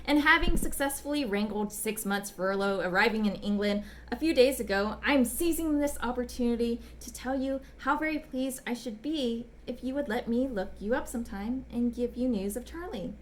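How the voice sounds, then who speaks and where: A woman voicing a smitten, bashful gentleman writing polite, apologetic, ardent love letters in a dramatic reading. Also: a little high, normal speed, few pauses, natural voice. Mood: polite, formal. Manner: polite, apologetic, ardent, old-fashioned phrasing. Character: smitten, bashful, gushing gentleman letter writer back from China.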